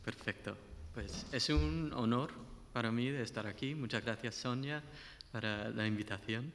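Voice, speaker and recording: A man's voice: mid-range voice, normal speed, a bit bright, big-room sound, a little hiss or hum. A man speaking Spanish with an English-speaking accent, a guest researcher giving a friendly conference talk with slides and questions to the audience. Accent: English-speaking learner of Spanish. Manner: friendly, asks the audience questions, some restarts.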